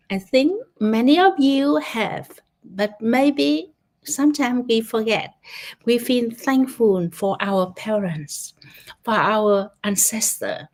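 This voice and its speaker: A woman from Vietnam with a Vietnamese accent, guiding a gratitude meditation online in gentle, halting English with many restarts. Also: on the high side. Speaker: meditation guide. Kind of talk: guided gratitude meditation talk. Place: online session.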